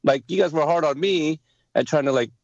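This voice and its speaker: A man hosting a podcast interview, whose first language was Lao, chatting in a friendly, animated way. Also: fairly high pitch, a little fast, gravelly, dry recording. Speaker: podcast host. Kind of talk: casual interview chat. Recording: dry, dull lo-fi sound.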